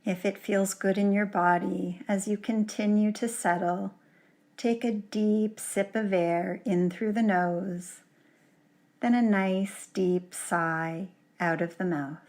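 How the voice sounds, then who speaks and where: Female yoga nidra guide, mid-pitched, very unhurried and gentle, soothing delivery. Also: steady tone. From Canada. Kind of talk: guided relaxation meditation.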